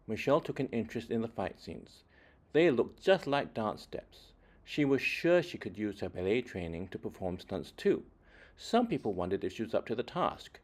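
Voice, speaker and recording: A man reading a children's story aloud expressively, with deliberate phrasing and dramatic emphasis. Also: mid-range voice, a little mellow. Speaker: children's book reader.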